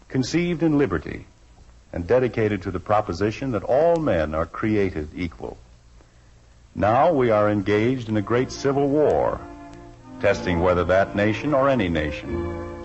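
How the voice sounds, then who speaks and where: An animated, theatrical American sitcom dad. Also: male voice, fairly low pitch. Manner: theatrical, emphatic. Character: exasperated middle-aged suburban father, hammy and big-hearted. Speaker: actor in a radio sitcom. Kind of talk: 1950s American radio sitcom acting. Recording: muffled vintage radio recording.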